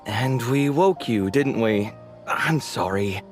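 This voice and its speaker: An actor playing an animated, sociable young man who bickers sarcastically with his roommate, in a game cutscene. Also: medium pitch, slow, music in the background. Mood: friendly. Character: expressive sociable young man architect, easily exasperated. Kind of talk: video game cutscene voice acting.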